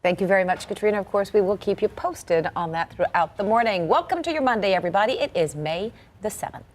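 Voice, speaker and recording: A woman's voice: medium pitch, animated, fast. A woman with an American accent reading scripted television news as a morning anchor.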